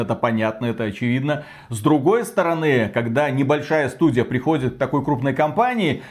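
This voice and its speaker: A man's voice: medium pitch, darkish tone. A man co-hosting a gaming news show, explaining things conversationally and wryly acting out imagined dialogue.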